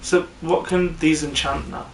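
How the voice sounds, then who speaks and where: A man's voice: on the high side, unhurried, gravelly. A man playing a game and chatting, quipping dryly as he goes. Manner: lively, quips dryly. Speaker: co-host gaming. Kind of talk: casual chat while playing. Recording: distant mic in echoey room with background noise.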